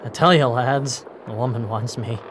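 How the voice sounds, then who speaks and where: An actor playing a brutal, lustful pirate captain in a blustering, theatrical radio drama style. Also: male voice, medium pitch, normal speed, close to an everyday voice. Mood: lustful, swaggering. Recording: noisy ambience behind the voice.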